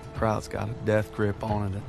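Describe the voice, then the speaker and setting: A man playing a young American infantryman, earnest and homesick, narrating the war in a somber, gravelly voice. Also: fairly low pitch, completely flat delivery, brisk, music in the background. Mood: somber, weary. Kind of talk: somber scripted voice-over narration.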